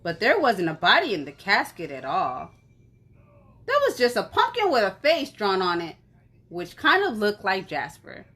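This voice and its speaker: A woman reading a story aloud in an animated, conversational way, as if for children. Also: medium pitch, normal speed, breathy edge. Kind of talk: expressive children's story narration.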